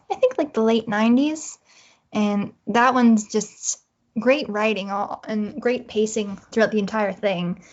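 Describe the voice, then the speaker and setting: A woman chatting casually and effusively as an interview guest, on slightly lo-fi audio. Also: on the high side. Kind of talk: casual chat.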